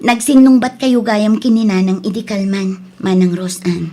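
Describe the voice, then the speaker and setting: A woman's voice: medium pitch, fairly even intonation, moderate pace, music in the background, close to an everyday voice. A woman acting an earnest younger sister who coaxes and reasons, in an Ilocano radio drama. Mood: earnest coaxing.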